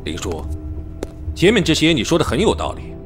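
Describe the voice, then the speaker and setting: Stern, widely inflected police chief. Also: male voice, a little high, wildly swooping pitch, soft background music. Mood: measured, challenging. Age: middle-aged. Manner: authoritative, probing, deliberate. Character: stern middle-aged police chief, skeptical, measured father. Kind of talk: Chinese audio drama acting.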